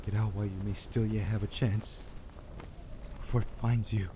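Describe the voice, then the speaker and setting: A man's voice: on the low side, normal speed, background noise, natural voice. An actor playing a frantic, haunted man trapped in a corn maze, warning in a panicked rush, in a radio play. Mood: fearful. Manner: panicked warnings that turn to eerie calm.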